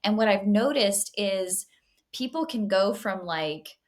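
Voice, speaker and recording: A woman therapist hosting a relaxed podcast, speaking with easy enthusiasm. Her talk is conversational and warm in manner, at an ordinary middle pitch for a woman. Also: takes it slowly. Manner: enthusiastic, conversational, laughs easily. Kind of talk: casual podcast interview.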